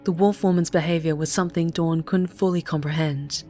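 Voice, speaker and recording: Low-voiced woman narrating dark fiction over music. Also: fairly even intonation, a little fast. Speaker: audiobook narrator. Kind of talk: scripted dramatic fiction narration.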